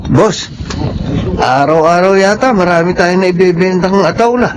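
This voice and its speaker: High voice, excitable employee, deferential, jumpy, radio drama. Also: male voice, measured pace, a crowd in the background, low-quality recording. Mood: chatty, deferential. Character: chatty, jumpy, deferential male shop employee. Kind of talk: Filipino radio drama acting. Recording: faint background noise.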